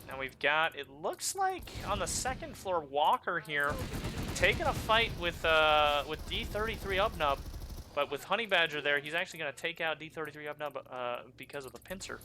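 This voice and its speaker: A man whose native language is German, giving excitable live play-by-play commentary on a video game match. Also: fairly high pitch, moderate pace, background noise. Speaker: esports commentator.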